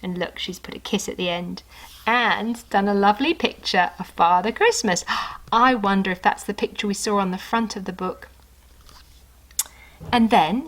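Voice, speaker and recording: Expressive woman reading a children's story. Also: medium pitch, low-quality recording. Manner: expressive, conversational, unhurried. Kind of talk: children's story read aloud with asides to the listener.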